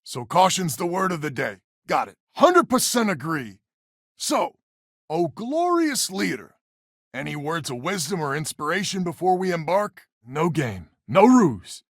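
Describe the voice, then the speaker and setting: Weary space colonist, video game character, wry and folksy, expressive mid-range voice, very dry studio sound. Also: male voice, a little high, unhurried, dry recording, heavily acted. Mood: wry, warm. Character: wry, weary male space colonist, head of a settler family.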